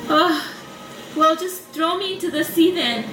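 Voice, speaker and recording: A woman's voice: extremely high pitch, measured pace, voice pushed up. A woman voicing Jonah, a reluctant, whiny runaway prophet, in an exaggerated plaintive puppet show style. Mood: resigned. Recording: roomy sound with music.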